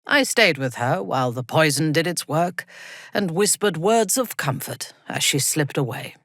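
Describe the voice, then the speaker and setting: Husky, imperious dark elf warrior. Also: female voice, deep voice, expressive, no room sound, studio quality, exaggerated voice. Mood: cold, composed. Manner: slow, deliberate, haughty, menacing. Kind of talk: video game voice acting.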